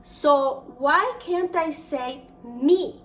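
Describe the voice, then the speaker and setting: High-voiced Swiss woman, slow and chatty. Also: fairly bright tone, recorded from a distance, a little hiss or hum. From Switzerland. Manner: chatty and rambling, many fillers and restarts. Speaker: cooking show host. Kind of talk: casual cooking vlog chat. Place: home kitchen.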